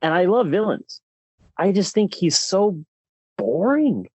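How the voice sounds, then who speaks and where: A man's voice: high-pitched, very animated, takes it slowly, darkish tone, slightly rough, no room sound, highs cut off. A man co-hosting a casual film discussion podcast, speaking in an opinionated, emphatic way. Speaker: podcast co-host.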